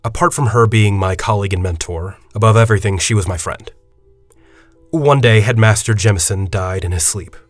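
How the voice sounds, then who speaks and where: Guy acting as headmaster around forty, low dry voice, careful formal talker, secretive, audio drama. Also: a little fast, no room sound, soft background music, lightly acted. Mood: confiding, somber. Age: 40s. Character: forty-year-old university headmaster, measured, confiding, secretive academic. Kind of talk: audio drama dialogue and storytelling.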